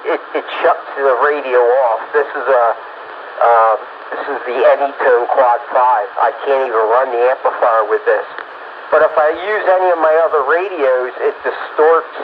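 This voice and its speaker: Male amateur radio operator, tinny phone-like transmission, clear static, halting casual talk. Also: medium pitch. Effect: two-way radio transmission. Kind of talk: casual radio chat about equipment. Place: home room, over radio.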